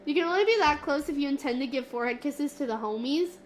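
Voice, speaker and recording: Woman streamer, high and nasal, sniffly with a cold, slow playful chatter over faint hiss. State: stuffy from a cold. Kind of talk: casual livestream chat with viewers. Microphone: desk mic, moving closer and farther.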